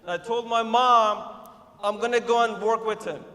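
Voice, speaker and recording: High-voiced man. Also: fairly even intonation. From Iran. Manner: halting, repeats words as he restarts. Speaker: audience member brought on stage. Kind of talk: telling a personal story on stage. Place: stage of a large theater. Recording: reverberant theater, loud crowd.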